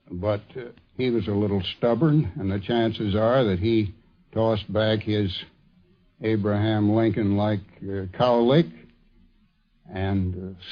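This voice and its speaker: Male storyteller, unhurried, recounting personal anecdotes; lo-fi old radio sound. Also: on the low side. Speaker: former colleague giving a reminiscence. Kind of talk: anecdotal spoken reminiscence. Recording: dull lo-fi vintage radio recording.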